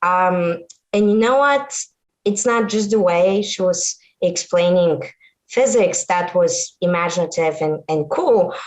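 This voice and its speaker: A woman in her thirties from Bulgaria with a Bulgarian accent, a physics lecturer telling her story earnestly over a video call, with animated delivery and frequent restarts. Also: on the low side, low-quality recording.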